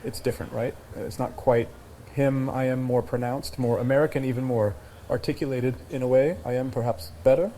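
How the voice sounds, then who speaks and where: Synthetic man voicing smug voice clone, over-articulated, mellow tone, faint noise. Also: mid-range voice, fairly even intonation, brisk, lightly acted. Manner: smooth, over-articulated, self-satisfied. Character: AI clone of a man's voice, smug and polished.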